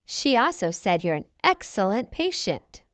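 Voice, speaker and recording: A woman's voice: mid-range voice, sing-song, a little slow, a bit bright, no room sound. A woman voicing a caring turtle mother in a storybook, comforting and encouraging in a lilting way. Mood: reassuring.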